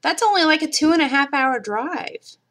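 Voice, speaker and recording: A woman's voice: high voice, animated, normal speed, a bit bright. A middle-aged woman from Kentucky with a mild Kentucky accent, chatting casually with her viewers during a live craft stream. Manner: chatty, talks to viewers in the chat. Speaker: livestream crafter.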